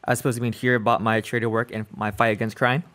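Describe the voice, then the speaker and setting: A man's voice: mid-range voice, flat delivery, fast talker, fairly bright tone, lightly acted. A man playing an earnest, pompous reformer in a radio play, speaking in stiff, formal speeches. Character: earnest pompous crime-fighting committee man.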